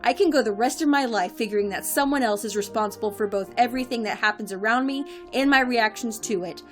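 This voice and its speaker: A woman plays a quick-witted modern heroine of an audio drama. Her voice is a little high, conversational and sarcastic, with an assertive, defiant edge. Music plays faintly behind. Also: close to an everyday voice.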